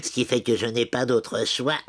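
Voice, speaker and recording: An actor playing a bossy, grudging goblin inspector in a children's cartoon, pompous and theatrical, with music behind. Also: male voice, mid-range voice, level tone, normal speed, lightly acted. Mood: officious, grudging. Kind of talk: animated children's cartoon dub.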